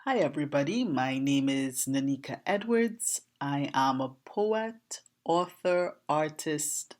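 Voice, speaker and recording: A woman with a Trinidadian accent and a slightly hoarse voice, teaching informally in a deliberate, questioning way, like an educator talking to viewers. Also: low voice, lively intonation. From Trinidad and Tobago. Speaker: language educator, presenter. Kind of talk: informal explanatory lesson to camera.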